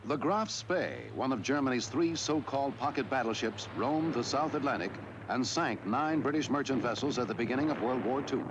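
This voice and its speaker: A man reading scripted historical newsreel narration in a measured, formal delivery. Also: mid-range voice, animated, lo-fi sound. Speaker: documentary narrator.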